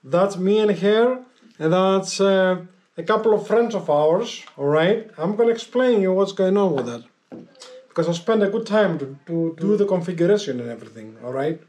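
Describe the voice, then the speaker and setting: A man giving a casual hands-on tutorial as a vlogger, explaining step by step in a halting, repetitive way. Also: high-pitched, animated, darkish tone.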